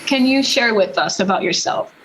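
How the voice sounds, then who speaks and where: A woman's voice: a little high, moderate pace, a little hiss or hum, rough recording. A woman hosting an online chat show, upbeat and full of effusive thanks and praise. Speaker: host.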